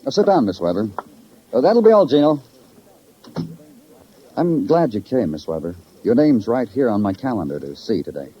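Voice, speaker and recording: An actor playing a probing, world-weary police detective with an American accent, terse and wry, in 1950s radio crime drama style. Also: male voice, mid-range voice, big pitch swings, moderate pace, pauses often, breathy edge. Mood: probing, polite. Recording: muffled vintage 1950s radio recording.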